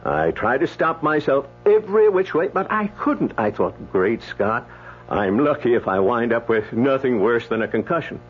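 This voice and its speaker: An actor playing a blunt, chatty doctor in a radio drama, with a folksy, exclamatory storytelling manner. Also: male voice, medium pitch, very animated, normal speed, slightly rough. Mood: amazed, chatty. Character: blunt, chatty male doctor telling a tall tale. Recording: dull old radio recording, faint hum.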